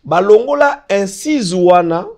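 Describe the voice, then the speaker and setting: A man's voice: high-pitched, lively intonation, takes it slowly, a little mellow. Emphatic Congolese man explaining law. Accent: Congolese French. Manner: mixes French and Lingala, emphatic, repeats fillers when searching for words. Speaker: guest. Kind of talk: political talk show discussion.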